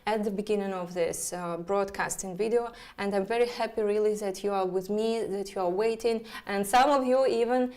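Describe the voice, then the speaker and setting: A woman astrologer with a Russian accent, speaking haltingly with many fillers as she talks to viewers of her live stream. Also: medium pitch, steady tone, a little mellow.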